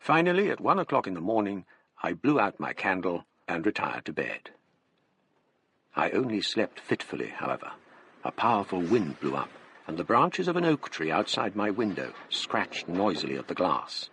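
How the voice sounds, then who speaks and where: An actor playing a literary gentleman narrating an eerie tale in a radio drama, with a measured, formal manner. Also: low-pitched, very animated, a little hiss or hum, heavily acted. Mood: reflective, ominous. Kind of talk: scripted first-person radio drama narration.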